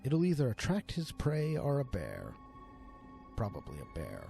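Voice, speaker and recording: A man narrating a scripted horror story in a theatrical manner, with deliberate dramatic pauses. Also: mid-range voice, huge pitch swings, creaky, warm tone. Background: music under the voice.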